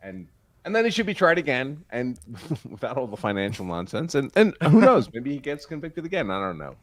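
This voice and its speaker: A man's voice: mid-range voice, sing-song, fairly quick, no room sound. A man giving casual legal commentary on a live stream, in a wry, joking and opinionated way.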